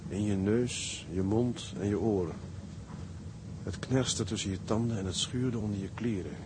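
Male actor as seaman writing to his sweetheart, lowish voice, measured, intimate, radio drama, noisy ship ambience. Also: close to an everyday voice. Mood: tender, reflective. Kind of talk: radio drama letter narration.

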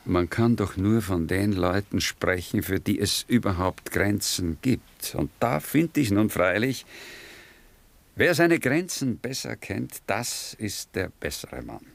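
A self-satisfied middle-aged professor in a radio drama. His low voice swings widely in pitch while he holds forth in measured, unhurried formal phrases. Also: animated, creaky, no room sound, exaggerated voice. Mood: complacent. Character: self-satisfied, orderly male professor and husband. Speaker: actor. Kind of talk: German radio drama acting.

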